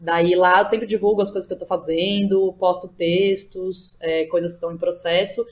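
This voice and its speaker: A woman's voice: lowish voice, steady tone, moderate pace. A woman with a Brazilian accent speaking casually as an interview guest, colloquial, effusive and emphatic. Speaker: podcast guest, playwright and educator.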